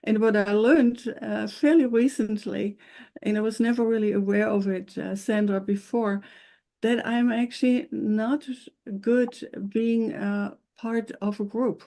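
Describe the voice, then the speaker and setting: A woman's voice: a little high, steady tone, measured pace, warm tone. An older woman in her sixties or beyond with a German accent, telling her own story conversationally and reflectively as a guest. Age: 60s or older. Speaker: interview guest, academy founder.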